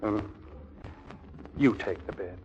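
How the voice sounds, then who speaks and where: An actor playing a bashful, easygoing young army lieutenant in a 1940s radio comedy, with a hesitant, stammering delivery. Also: male voice, fairly low pitch, takes it slowly, a little mellow. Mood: awkward, bashful. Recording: dull, noisy 1940s radio broadcast.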